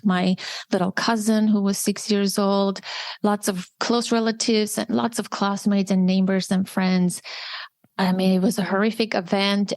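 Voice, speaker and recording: A woman in her forties, originally from Armenia, talks in a candid, reflective way, restarting her sentences as she thinks. Her voice sits at a middle pitch and sounds dry and close, with no room around it. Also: no room sound. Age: 40s. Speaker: podcast host. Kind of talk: personal conversation, answering intimacy questions.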